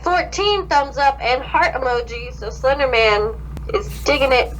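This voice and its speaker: High-voiced woman reading fanfic theatrically. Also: measured pace, crowd noise, rough recording. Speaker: podcast host. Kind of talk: comedic dramatic reading of fan fiction.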